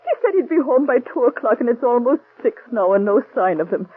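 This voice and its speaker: A man's voice: very high voice, expressive, a little fast, husky, no echo, full character voice. A performer playing a worried mother in an old radio drama, speaking anxiously and close to tears. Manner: anxious, pleading, near tears. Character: worried middle-aged mother, Mrs. McNulty. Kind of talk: 1940s radio acting. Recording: tinny lo-fi old radio recording.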